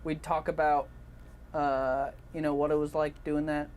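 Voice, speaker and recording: A man's voice: fairly high pitch, level tone, unhurried, darkish tone, slight background noise. A man co-hosting a video, speaking off the cuff in casual back-and-forth banter. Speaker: video co-host. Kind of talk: casual unscripted banter with a co-host.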